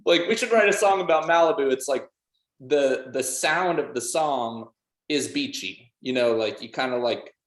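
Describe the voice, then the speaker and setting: A man's voice: mid-range voice, lively intonation, normal speed. A man in a band chatting casually in an interview, emphatic, saying "like" and "literally" constantly. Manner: emphatic, heavy use of "like" and "literally". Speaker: band musician being interviewed. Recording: roomy, echoey sound.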